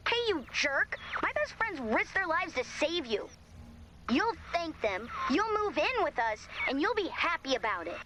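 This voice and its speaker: High-voiced bold, bossy girl. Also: unhurried, background noise. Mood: bossy, forceful. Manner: blunt, expressive. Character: bold, bossy, fiercely loyal girl, post-apocalypse survivor. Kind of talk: animated video game voice acting.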